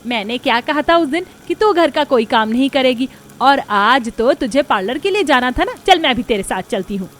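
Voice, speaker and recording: A woman's voice: sits high, lively intonation, moderate pace, fairly bright tone. A woman voicing a greedy, boastful, bossy older mother-in-law in a Hindi cartoon story, with a scolding, emphatic manner.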